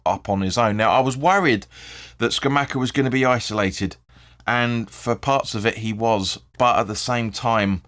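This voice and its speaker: A man with a hoarse, croaky voice hosting his own fan channel, rambling through post-match thoughts, correcting himself and swearing freely. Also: lowish voice, fairly bright tone. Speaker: football fan channel host. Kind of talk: casual post-match video commentary.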